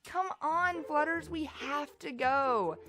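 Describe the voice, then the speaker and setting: A woman voicing an eager, adventurous young pony in an animated fan drama. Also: sits high, takes it slowly, full character voice.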